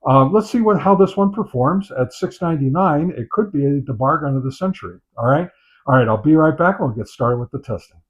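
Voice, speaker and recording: A dull, lo-fi recording of a man with a slightly low-pitched voice. He hosts a hands-on product test, talking off the cuff, with hesitant pauses and well-worn phrases as he goes. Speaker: host of a radio gear review channel. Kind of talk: unscripted product test commentary. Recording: dull, muffled lo-fi sound.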